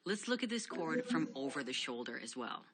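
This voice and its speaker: A woman giving a scripted guitar lesson, explaining steps clearly and steadily. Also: sits low, big pitch swings, brisk. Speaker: guitar instructor.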